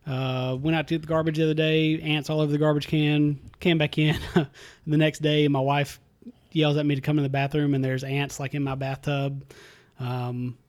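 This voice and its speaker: A man's voice: on the high side, level tone, moderate pace, a little mellow. A man, telling easygoing stories with dry humor as a podcast co-host. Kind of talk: casual chat.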